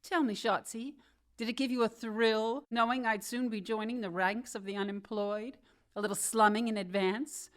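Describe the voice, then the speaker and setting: A woman's voice: a little high, fairly even intonation, moderate pace, lightly acted. A woman acting a sarcastic, wisecracking career woman who has just been fired, in a comedic radio drama. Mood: bitter sarcasm.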